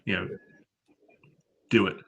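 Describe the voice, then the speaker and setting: A man's voice: takes it slowly, fairly bright tone. A man giving blunt, matter-of-fact opinions as a panelist on a casual sports podcast.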